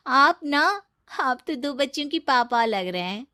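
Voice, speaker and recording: A woman's voice: high-pitched, pushed and bright, no echo, studio quality, voice pushed up, exaggerated voice. A woman voicing a young, teasing and shrewd aspiring model in a Hindi cartoon story.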